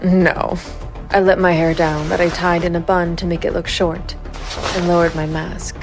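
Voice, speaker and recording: A woman's voice: fairly low pitch, little pitch movement, normal speed, music in the background, lightly acted. A woman playing a cold, composed vigilante investigator, narrating a gritty noir crime audio drama in a controlled, stern way. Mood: grim, resolute. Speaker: narrator and lead character.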